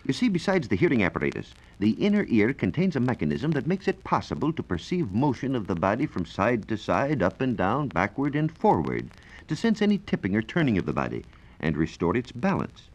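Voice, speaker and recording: An American man working as a science reporter gives a formal, measured, scripted explanation in the style of 1940s educational radio. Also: medium pitch, huge pitch swings, darkish tone. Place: radio studio. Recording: dull, lo-fi 1940s radio recording.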